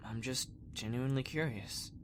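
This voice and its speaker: A man's voice: mid-range voice, normal speed, lightly acted. Man as young space cadet, mid-range voice, earnest, hesitant, casual, sci-fi cartoon dub, faintly noisy. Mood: curious. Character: earnest, curious young male space trainee. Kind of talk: fan sci-fi parody dub, conversational.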